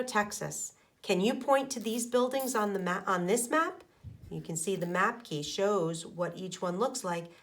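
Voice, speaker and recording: Slow-paced woman teacher reading to children. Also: medium pitch, fairly bright tone. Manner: simple, deliberate phrasing for young children, with questions to listeners. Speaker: elementary school teacher. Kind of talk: children's picture book read-aloud with explanations.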